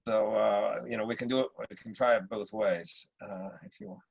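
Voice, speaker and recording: A man's voice: on the low side, fairly even intonation, normal speed, gravelly, breathy edge, poor audio. A male actor talking casually at a table read in a thoughtful, hesitant manner.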